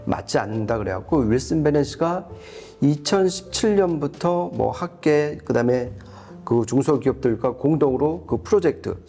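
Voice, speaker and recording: A man's voice: mid-range voice, lively intonation, moderate pace, warm tone, faint music behind. A man giving an explanatory product review as a co-host, going step by step and rephrasing as he goes.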